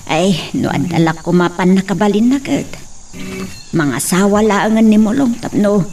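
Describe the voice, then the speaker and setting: A woman's voice: mid-range voice, animated, moderate pace, dark tone, natural voice. A middle-aged woman playing a fond, fussing mother who laughs often. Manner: fussing, affectionate, laughs a lot. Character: doting village mother eager to see her son married. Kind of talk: amateur drama acting. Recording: distant mic with faint insect noise.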